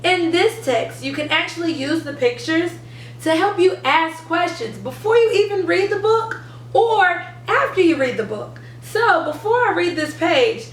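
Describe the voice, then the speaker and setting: High-voiced woman teaching, roomy sound. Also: animated, a little slow. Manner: clear step-by-step explaining, stressing key words. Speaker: reading teacher and channel host. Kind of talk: instructional how-to presentation. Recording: roomy, distant mic, faint noise.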